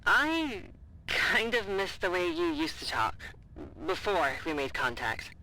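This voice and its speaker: A woman's voice: lowish voice, sing-song, slow, sharp tone, heavily acted, poor audio. A woman playing an earnest, nervous site worker in an audio drama, with a hesitant, informal, naturalistic delivery. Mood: sincere, tentative. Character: earnest, nervous site worker talking over a comms link.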